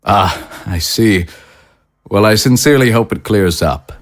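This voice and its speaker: An actor playing a courtly, smooth-talking consul in an audio drama, formal, stately and politely condescending. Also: male voice, on the low side, measured pace, close to an everyday voice. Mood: courteous. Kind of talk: dramatic dialogue acting.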